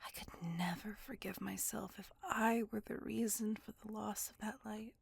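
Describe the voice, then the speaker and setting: A woman voicing a gentle, remorseful immortal vampire in an ASMR roleplay, speaking tenderly and intimately in a breathy whisper. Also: medium pitch, fairly even intonation, exaggerated voice. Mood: tender, adoring. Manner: slow, tender, earnest confiding.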